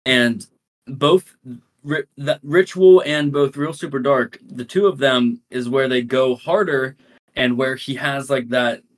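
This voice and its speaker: A man's voice: medium pitch, fairly even intonation, a little slow. A man co-hosting a casual music podcast, talking in a rambling, hesitant way with many restarts. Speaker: podcast co-host. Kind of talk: casual chat.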